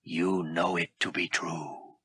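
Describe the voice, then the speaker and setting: A man voicing a menacing armored dark lord, speaking slowly and deliberately. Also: sits low, fairly even intonation, slightly rough, dry recording, pitched below the performer's own voice, heavily acted. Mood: ominous. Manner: slow, deliberate, ominous.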